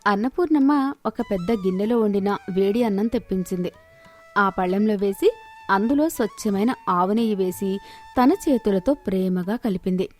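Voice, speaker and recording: A woman's voice: mid-range voice, normal speed, darkish tone, soft background music. A woman storyteller reading a scripted moral tale.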